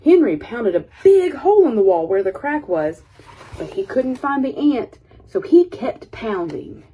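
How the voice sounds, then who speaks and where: Animated, higher-voiced woman reading a storybook. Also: measured pace, narrow, tinny audio, a little hiss or hum. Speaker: storyteller reading a children's picture book. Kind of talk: expressive read-aloud story narration.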